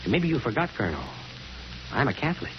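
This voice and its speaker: An actor playing a stubborn, folksy small-town mayor in a 1940s radio drama, plainspoken and blustering. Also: male voice, medium pitch, big pitch swings, quick pace, natural voice. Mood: dry defiance. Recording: muffled, hissy 1940s radio broadcast.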